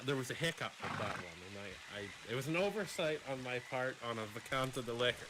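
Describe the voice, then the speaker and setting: A man's voice: mid-range voice, animated, natural voice. Man playing a rambling frontier doctor, noisy audio. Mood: hesitant, bargaining. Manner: halting, rambling, repeats himself. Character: hard-drinking, scheming frontier doctor offering shady jobs. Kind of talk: improvised western roleplay in character. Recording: noisy online game voice chat.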